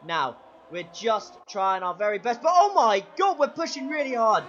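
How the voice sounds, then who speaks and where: A man commentating his own racing game run, excitable play-by-play with a sore, strained throat. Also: moderate pace, highs cut off, slight background noise. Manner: excitable play-by-play, pitch jumps when overtaking. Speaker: gaming channel host.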